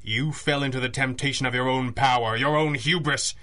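An actor playing a cool, wry masked crime-fighter in a radio drama, speaking with dry, assured authority. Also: male voice, mid-range voice, fairly even intonation, normal speed, bright, forceful tone, lightly acted. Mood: stern. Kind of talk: 1930s-style radio drama acting.

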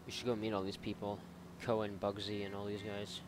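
A man's voice: lowish voice, completely flat delivery, fairly quick. A man giving casual, sarcastic, sweary video game commentary with mock exasperation. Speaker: video game let's-player. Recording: faint game noise behind voice.

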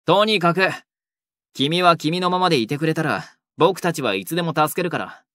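A man playing an earnest, quietly lovestruck young delinquent in a romantic audio drama. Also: on the high side, expressive, normal speed, no echo, studio quality. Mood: earnest, tender.

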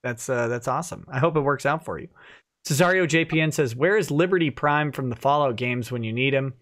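A man's voice: mid-range voice, brisk, a bit bright. A man in his thirties hosting a live stream from a home studio, chatty and laughing often, reading viewer comments aloud into a headset mic. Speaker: host of a live stream show. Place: home office studio, indoors. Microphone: headset with boom mic.

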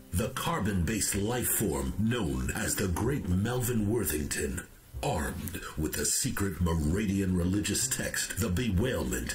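A man's voice: low-pitched, exaggerated voice. An actor playing a solemn male narrator, delivering a dramatic recap for a sci-fi comedy radio serial. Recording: roomy echo under music.

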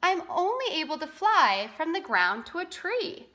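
Woman as a high, plaintive little roadrunner. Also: big pitch swings, a little slow, bright, forceful tone, full character voice. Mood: wistful. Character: sad, humble female roadrunner bird, small and wistful. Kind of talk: children's storybook read-aloud.

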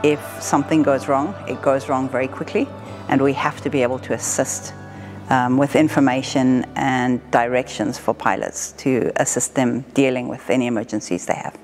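A woman in her forties from southern Africa, talking in a measured, matter-of-fact way as an air traffic controller being interviewed. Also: rumbling low, steady tone, a little mellow, soft background music. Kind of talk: explanatory interview talk. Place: indoor room with wood-panelled wall.

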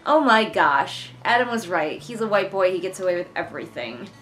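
A woman's voice: on the low side, animated, moderate pace, a bit bright, slight background noise. A young woman giving casual, sarcastic commentary as she reads along with a book, in an exasperated, informal way. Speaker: book reviewer reading along. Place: living room couch, indoors. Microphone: camera a short distance away.

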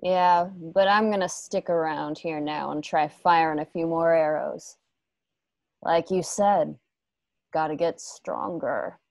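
A woman's voice: deep voice, slow, creaky, a little mellow, no room sound, full character voice. An actress playing a hardened, blunt, vengeful young woman in a radio play. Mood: determined. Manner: blunt, foul-mouthed. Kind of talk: remote radio play acting.

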